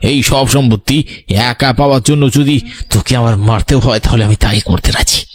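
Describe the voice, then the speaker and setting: A man playing a greedy, short-tempered older brother, the gruff, contemptuous villain of a horror audio story. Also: medium pitch, a little fast, close to an everyday voice. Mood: threatening.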